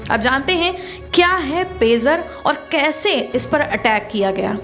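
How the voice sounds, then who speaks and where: A woman's voice: high-pitched, expressive, measured pace, fairly bright tone. A woman presenting an explainer narration. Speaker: video presenter. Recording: music bed behind voice.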